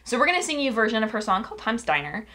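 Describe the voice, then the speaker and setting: Young woman hosting her music show, bright sharp voice, talks fast and excited, webcam in her bedroom. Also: a little high. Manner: bubbly, quick, enthusiastic. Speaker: music channel host. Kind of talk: upbeat casual intro chat. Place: bedroom with posters and a guitar, indoors.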